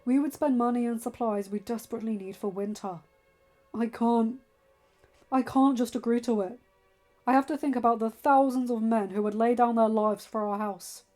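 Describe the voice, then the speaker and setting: A woman voicing a stern, protective young lord and father in a fantasy story, arguing with forceful, emphatic reason over music. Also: on the high side, steady tone, normal speed, lightly acted. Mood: grave, insistent.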